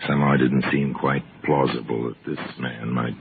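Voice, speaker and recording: Extremely deep male radio mystery narrator. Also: fairly even intonation, gravelly, slight background noise. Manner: measured, storytelling. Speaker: narrator and host. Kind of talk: scripted old-time radio mystery narration. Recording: tinny old radio broadcast.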